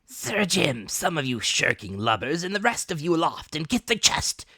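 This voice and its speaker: Guy doing a vicious blind old pirate boss, yelling orders, pitched way up from his own voice, audiobook drama. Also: very animated, moderate pace, creaky, no echo, heavily acted. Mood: furious, commanding. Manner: shouting, barking orders.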